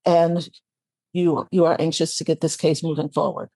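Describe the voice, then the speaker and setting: A woman arguing in court as a defense attorney, halting and repeating words as she goes. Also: low voice, little pitch movement, mellow, dry recording. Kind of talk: courtroom argument.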